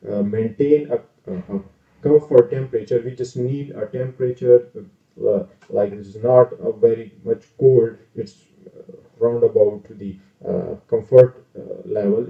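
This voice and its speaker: A man's voice: medium pitch, warm tone. A man hosting his own channel, giving a casual screen-share tutorial on an engineering calculation, hesitant with many fillers and self-corrections. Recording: roomy, distant, dull lo-fi sound.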